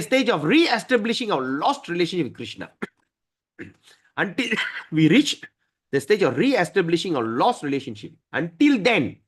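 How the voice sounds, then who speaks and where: A man teaching a scripture study class, emphatic and often asking his listeners "right?". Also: high voice.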